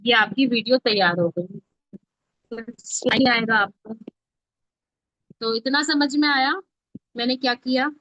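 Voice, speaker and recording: A woman's voice: fairly high pitch, slow, long pauses, fairly bright tone, dry recording. A woman instructor giving an informal step-by-step tutorial, often checking that listeners are following along. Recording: dull, lo-fi, dry close audio.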